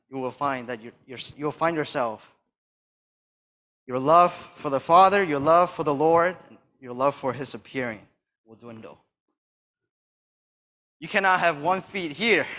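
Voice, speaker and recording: A soft-spoken man giving a sermon and reading Bible verses, hesitant with fillers and restarts, pressing hard on key phrases. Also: mid-range voice. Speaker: church speaker.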